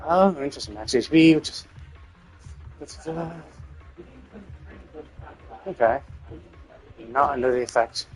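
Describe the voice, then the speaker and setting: A man's voice: medium pitch, unhurried, long pauses, soft background music, rough recording. A man giving casual live game commentary, thinking aloud as he explains things, with some mild swearing. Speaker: game streamer.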